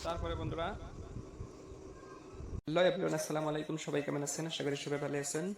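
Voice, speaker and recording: A man hosts a casual step-by-step tutorial. His voice sits a little high and moves very little in pitch. He talks instructionally, often repeating himself as he addresses viewers. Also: flat delivery, quick pace, creaky, noisy background. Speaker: tutorial host. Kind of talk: casual how-to tutorial narration.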